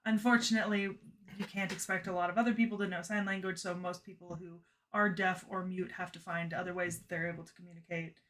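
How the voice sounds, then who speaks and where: A woman's voice: lowish voice, little pitch movement, fast, fairly bright tone, a little hiss or hum. A woman co-hosting a casual anime discussion, chatty and full of "like" fillers. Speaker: podcast co-host.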